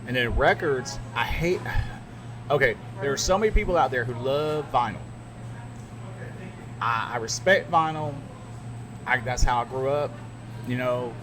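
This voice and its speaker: A man's voice: mid-range voice, measured pace, pauses often. Middle-aged radio dj guy telling old stories, noisy background. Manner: storytelling, animated asides. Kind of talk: casual podcast chat.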